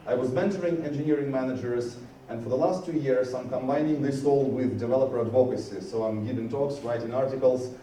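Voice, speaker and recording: A man's voice: mid-range voice, a little fast, few pauses, mellow, distant mic. A man giving a conference talk on stage, earnest, often restarting his phrases. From Georgia. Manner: earnest, frequent restarts, rises with emphasis. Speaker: conference speaker. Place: conference hall. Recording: roomy hall, speaker away from mic, faint noise.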